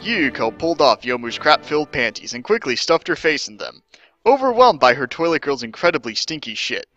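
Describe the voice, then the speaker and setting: Bright, animated theatrical male narrator. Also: on the high side, a little fast, highs cut off, soft background music. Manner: theatrical, emphatic. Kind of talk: mock-dramatic scripted story reading.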